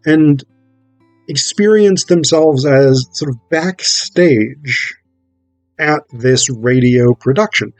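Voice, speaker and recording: A man's voice: on the high side, darkish tone, dry recording. Man lecturing informally, getting over a cold. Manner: halting, thinking aloud with repeated rhetorical check-ins. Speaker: theatre performer explaining a play.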